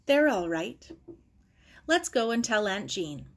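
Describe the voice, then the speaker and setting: A woman's voice: mid-range voice, big pitch swings, slow pace, heavily acted. A woman voicing a plucky, reassuring young girl in a storybook reading. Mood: confident. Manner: confident, reassuring.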